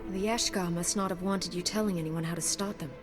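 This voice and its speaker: A woman's voice: fairly low pitch, fairly even intonation, brisk, music in the background, lightly acted. A woman playing a resolute, heroic young Jedi knight in a video game, speaking in a firm, composed way. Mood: determined. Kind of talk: video game character acting.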